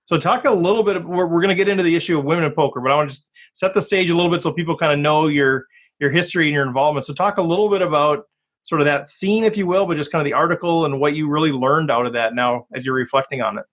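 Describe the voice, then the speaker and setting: A man's voice: on the high side, very fast, a bit bright. A man hosting a podcast interview, joking and rambling with many restarts. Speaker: podcast host. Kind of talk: casual interview.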